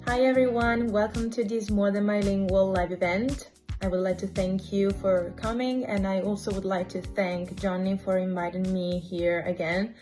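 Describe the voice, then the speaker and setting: A woman from Italy, an interpreter, giving a polite, measured recorded video message. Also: mid-range voice, steady tone, music in the background.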